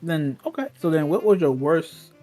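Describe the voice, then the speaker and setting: A man's voice: fairly high pitch, lively intonation, moderate pace, mellow, faint music behind. A man reminiscing in casual, slangy talk, emphatic and often repeating himself.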